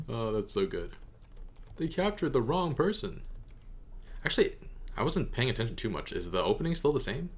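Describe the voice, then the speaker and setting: A man's voice: medium pitch, big pitch swings, moderate pace, pauses often. A man hosting a reaction video, giving casual, rambling, amused commentary.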